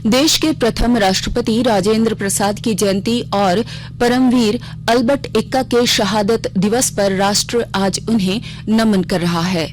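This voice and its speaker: A woman's voice: a little high, slight background noise, low-quality recording. A woman reading a scripted regional news bulletin on the radio as the news reader.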